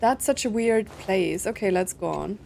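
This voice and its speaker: A woman's voice: mid-range voice, lively intonation, normal speed, vocal fry, a little mellow, slight background noise. A woman doing casual let's-play commentary, musing aloud in a conversational way as she plays. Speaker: gaming content creator.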